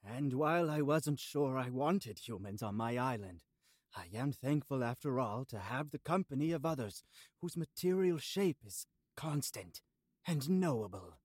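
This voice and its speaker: A man's voice: medium pitch, lively intonation, moderate pace, darkish tone, no echo, studio quality, lightly acted. A man voicing an ancient, solemn, formal island spirit in an audio drama.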